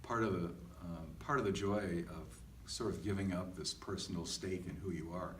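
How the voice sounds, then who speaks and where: A middle-aged man talking casually and reflectively as a podcast co-host, halting and self-deprecating. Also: low-pitched, a bit bright. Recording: echoey, distant mic with faint noise.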